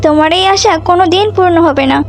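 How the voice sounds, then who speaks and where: A woman's voice: very high voice, fast, faint music behind. A woman voicing a headstrong little cartoon girl in an exclamatory, pleading way. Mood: defiant pleading. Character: headstrong, defiant little girl captured by a witch. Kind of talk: cartoon acting.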